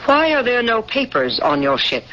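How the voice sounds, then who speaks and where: A woman's voice: a little high, very animated, moderate pace, fairly bright tone, slight background noise. An actress in her thirties playing a stern young woman interrogator on an alien world, cold, formal and probing. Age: 30s. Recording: muffled old radio broadcast.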